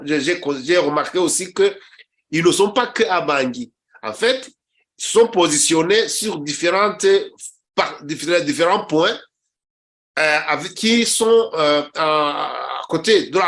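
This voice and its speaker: A man's voice: on the high side, measured pace, fairly bright tone. A middle-aged man from Rwanda, a journalist hosting a talk show, giving political commentary and often stumbling over repeated words and restarts.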